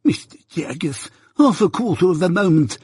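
A man voicing a desperate male suitor, begging in a shrill, frantic way. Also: expressive, normal speed, a little mellow, breathy edge, no room sound, studio quality, heavily acted.

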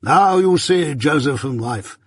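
A man's voice: on the high side, animated, unhurried, husky, no echo, studio quality, pitched above the performer's own voice. A man voicing a pompous, self-satisfied older uncle, a patronizing seed merchant in a storybook. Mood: officious, self-important.

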